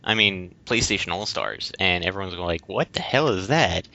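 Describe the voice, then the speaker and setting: Male podcast co-host, deep voice, informal halting conversational delivery, dry lo-fi recording. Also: expressive, vocal fry, bright, forceful tone. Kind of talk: casual discussion of video games. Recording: dull lo-fi close voice recording.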